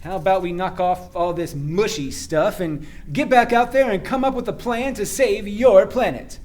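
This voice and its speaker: An actor playing a cocky but sincere starship captain in a sci-fi radio play, with an earnest, wry, halting delivery. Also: male voice, high voice, darkish tone, natural voice. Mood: wry, resolute.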